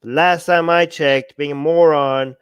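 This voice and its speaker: Blunt man arguing, smooth clear voice. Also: a little high, steady tone, measured pace, no echo. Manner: blunt, argumentative, quotes others in mocking voices. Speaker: co-host. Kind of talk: opinionated political talk.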